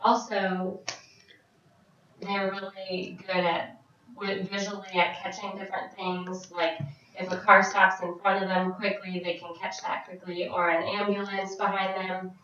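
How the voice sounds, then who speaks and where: A woman voicing a signed question-and-answer vlog as an interpreter, speaking in short, halting phrases with frequent restarts. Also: medium pitch, flat delivery, sharp tone, slight background noise. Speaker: sign language interpreter voicing a Deaf vlogger. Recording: very echoey, distant, dull sound.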